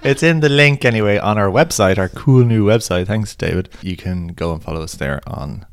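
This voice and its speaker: Gravelly man chatting on a podcast. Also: fairly low pitch, animated, normal speed, dry recording, clean studio sound. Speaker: podcast co-host. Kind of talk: casual chat.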